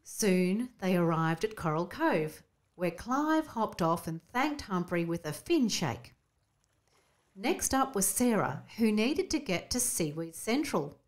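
Slow, lively woman telling children's stories. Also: mid-range voice. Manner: expressive, storybook delivery. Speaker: storyteller. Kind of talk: scripted children's story narration.

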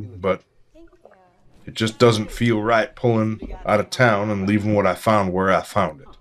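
A man's voice: on the low side, natural voice. An actor playing a proud, plainspoken old small-town man, earnest and rattled, in an audio drama. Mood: uneasy, candid. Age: older.

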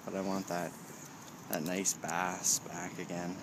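A man with a low voice talks casually through a fishing outing, muttering in a laid-back way as if to himself. He is recorded outdoors on the water on a camera mic, with clear background noise. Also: little pitch movement, measured pace. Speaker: fishing show host. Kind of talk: casual fishing vlog narration. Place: outdoors on a kayak on open water. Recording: noisy outdoor camcorder audio with ambient noise.

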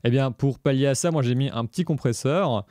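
A man's voice: medium pitch, a little fast, warm tone, dry recording, clean studio sound. A man presenting a music production tutorial in a casual, step-by-step explanatory way. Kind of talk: casual screencast tutorial narration.

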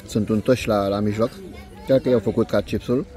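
A man doing a casual food review vlog in short, unhurried, appreciative phrases, recorded outdoors on a handheld phone. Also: on the low side, fairly even intonation, dark tone, faint music behind, a faint crowd. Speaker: food vlogger. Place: outdoor restaurant terrace by a lake.

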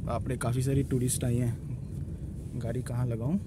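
A man narrates a casual travel vlog, explaining things with halting pauses. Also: mid-range voice, little pitch movement, background noise. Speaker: travel vlogger. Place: outdoors at a tourist site and inside a car.

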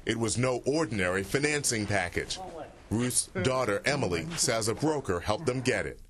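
A man narrating a TV news report in a steady, measured way. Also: fairly low pitch, crowd noise.

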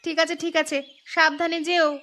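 High female voice, actress as grumbling village wife, sharp-tongued, cartoon, plucked-string music behind. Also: level tone, moderate pace. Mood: relenting. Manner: scolding, complaining. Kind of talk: cartoon dialogue.

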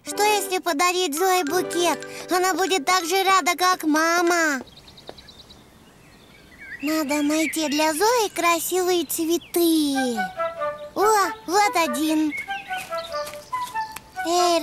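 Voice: very high voice, steady tone, takes it slowly, over music. A performer voicing a cheerful, eager little donkey boy in a preschool cartoon, exclaiming and thinking aloud in a childlike way.

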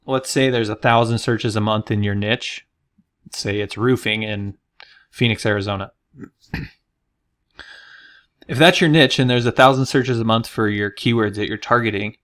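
A man talking casually through a screen-recorded software tutorial, explaining as he goes with the odd filler. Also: on the low side. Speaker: marketing consultant.

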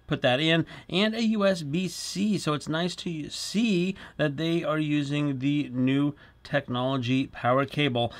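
Casting: middle-aged male review host, slightly high voice, chatty, casual explainer delivery. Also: fairly bright tone. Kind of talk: casual product demonstration to camera.

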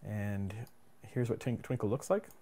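A man presenting a casual screencast software tutorial in an informal, plain-spoken and somewhat hesitant way. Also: fairly low pitch, lively intonation, a little slow. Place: indoors at a computer. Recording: clear background room noise.

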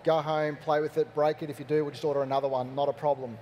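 A man speaking fast in a mellow, darker tone, answering as an IT manager on a panel. He is brisk and practical and sounds keen. Faint room noise sits under his voice. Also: a little high, little pitch movement. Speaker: school IT manager.

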